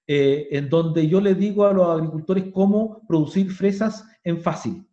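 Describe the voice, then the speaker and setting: Chilean guy on a webinar, higher voice, kinda dark tone, echoey room. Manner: conversational, plain-spoken explaining. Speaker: agronomy speaker. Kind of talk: webinar talk. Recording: roomy, muffled online call.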